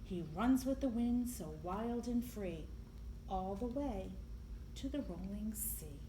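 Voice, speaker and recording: A woman reading a picture book aloud to young children in an expressive, deliberate storytelling manner, with emphasis on the sound words. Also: medium pitch. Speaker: library storyteller. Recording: echoey room, clear background noise.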